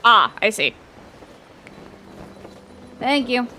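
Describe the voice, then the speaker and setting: A woman's voice: on the high side, very animated, extremely slow, sharp tone, low-quality recording. Chatty young woman streamer. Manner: chatty, talks to herself, bursts of speed. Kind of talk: casual let's-play commentary. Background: game music.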